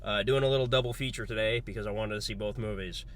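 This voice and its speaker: A man's voice: fairly low pitch, fast talker. Congested man chatting a movie review. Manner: relaxed, rambling, lots of fillers. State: congested, under the weather. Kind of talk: casual spoken movie review.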